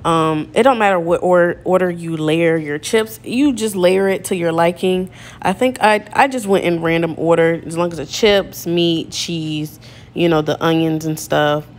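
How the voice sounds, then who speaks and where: A woman's voice: lowish voice, a little slow. A woman chatting casually to her viewers while cooking in a small home kitchen, playful and sing-song, often calling them "y'all". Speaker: cooking vlogger. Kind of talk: casual cooking chat to camera. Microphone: camera mic, speaker moving around.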